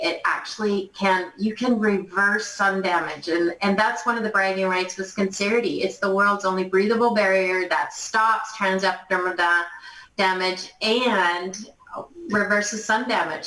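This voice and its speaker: A woman's voice: mid-range voice, fairly bright tone. A woman in her mid fifties giving an unscripted testimonial in a gushing, emphatic, enthusiastic way. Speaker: company distributor giving testimonial. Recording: roomy, echoey, distant mic.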